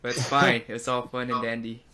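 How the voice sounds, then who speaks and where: A man's voice: mid-range voice, flat delivery, moderate pace, sharp tone. A man co-hosting a casual podcast with short, agreeable remarks over a noisy call.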